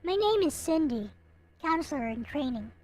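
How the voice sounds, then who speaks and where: A woman voicing a gentle, persistent young woman counselor, kindly and earnest, in a visual novel. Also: sits high, very animated, normal speed.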